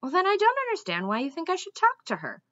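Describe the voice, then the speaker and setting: A woman's voice: very high voice, wildly swooping pitch, fast, a bit bright, muffled recording, voice pushed up, exaggerated voice. A woman voicing a courteous, puzzled male king with a mild, questioning manner in a storybook. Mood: polite, puzzled.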